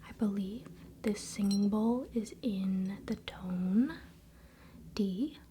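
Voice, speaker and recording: A woman whispering softly in a breathy voice, speaking as an ASMR host giving calm spiritual guidance. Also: medium pitch, a little hiss or hum. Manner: soft, slow, unhurried.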